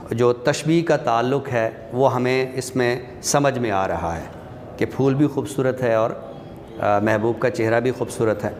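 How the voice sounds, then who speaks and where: A man giving a classroom lecture as a literature teacher, explaining things step by step and addressing his listeners directly. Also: on the high side. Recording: reverberant hall, clear background noise.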